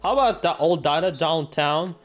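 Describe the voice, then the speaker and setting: A man's voice: a little high, expressive, normal speed. A man hosting a game playthrough, reading aloud and reacting in a jokey, theatrical, exclamatory way. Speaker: gaming video host. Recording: music behind the voice. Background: game music.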